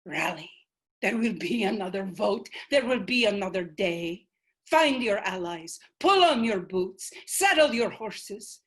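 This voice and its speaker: A woman's voice: medium pitch, animated, unhurried, breathy edge. A woman voicing the fierce spirit of an ancient female ancestor, stern and commanding in a one-woman stage monologue. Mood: urging.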